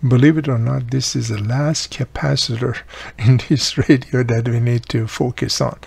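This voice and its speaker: Gravelly, husky man explaining step by step. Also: mid-range voice, fairly even intonation, moderate pace, darkish tone, no room sound. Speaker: host of a radio repair channel. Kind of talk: instructional video narration.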